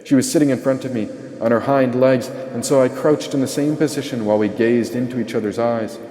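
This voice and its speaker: A man's voice: mid-range voice, brisk, mellow. A man reading an audiobook as a dramatic first-person story narration. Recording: echoey, long reverb tail.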